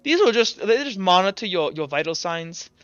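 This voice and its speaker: Evasive Inquisition doctor for tabletop fantasy, male player, bright, halting, soothing on the surface. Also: sits high, big pitch swings, smooth, dry recording, dull audio, soft background music. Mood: reassuring, evasive. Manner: hesitant, halting, reassuring.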